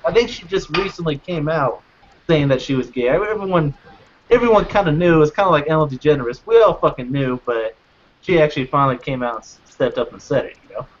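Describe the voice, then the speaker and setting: Rambling high-voiced man on a lo-fi podcast. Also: expressive, fairly quick. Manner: profane, rambling, with restarts and fillers. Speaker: podcast co-host. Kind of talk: casual chat. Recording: dull lo-fi podcast audio.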